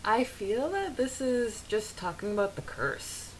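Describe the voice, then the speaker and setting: A woman co-hosting a podcast, chatting casually in a giggly, animated way as she discusses a story and reads out bits of it. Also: a little high, unhurried, rough recording. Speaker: podcast co-host. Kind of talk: casual fan discussion with read-out quotes. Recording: hissy background noise.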